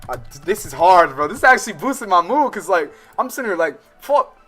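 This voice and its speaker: Slangy American man streaming over loud game music. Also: high-pitched, very animated, a little fast. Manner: slangy, profane, digressive, interrupting himself while reading. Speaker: livestream host playing a visual novel. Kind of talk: casual let's-play commentary with read-aloud game text. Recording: music playing under the voice. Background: game soundtrack music.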